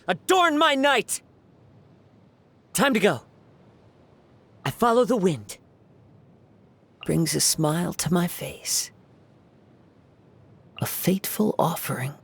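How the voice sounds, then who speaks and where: Serene young swordsman poet, soft-spoken and gentle, game character. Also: sits high, full character voice. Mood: battle cries. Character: calm young male wandering samurai poet.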